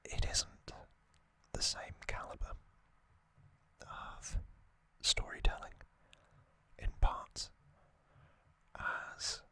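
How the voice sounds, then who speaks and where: ASMR narrator, sex open: sustained whisper, very unhurried, halting short phrases, explanatory recap delivery. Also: pushed and bright. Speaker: host of an ASMR channel.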